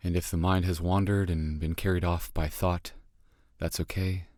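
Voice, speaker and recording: A man leading a guided meditation in a calm, gentle, measured manner with long pauses. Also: deep voice, dry recording, studio quality. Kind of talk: guided meditation, slow and soothing instruction.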